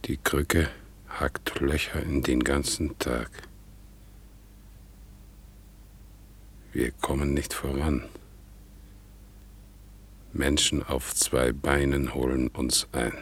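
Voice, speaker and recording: A man's voice: very deep voice, fairly even intonation, slow, pauses often, breathy edge, full character voice. A man playing a meek, melancholy one-legged veteran in a 1960s German radio play, speaking plaintively and broodingly. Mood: brooding, sad.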